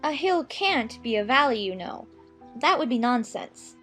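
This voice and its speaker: A woman's voice: a little high, very animated, a little slow, fairly bright tone, faint music behind. A woman playing a curious, polite young girl in a dramatized children's audiobook, earnest and innocent in manner.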